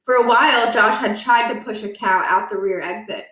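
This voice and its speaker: A woman narrating a children's book aloud in an expressive storytelling style. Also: mid-range voice, normal speed, reverberant, low-quality recording.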